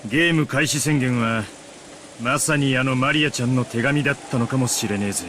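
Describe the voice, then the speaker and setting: A man playing a shrewd, casual middle-aged man with rough masculine speech in a Japanese visual novel, music behind him. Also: mid-range voice, racing, fairly bright tone, slight background noise, close to an everyday voice. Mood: cool, musing.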